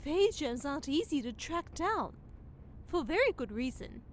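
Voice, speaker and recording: A woman's voice: high-pitched, big pitch swings, moderate pace, a little hiss or hum. A woman playing a prim, knowing forest fairy, theatrical and disapproving, in a fantasy comedy audio drama.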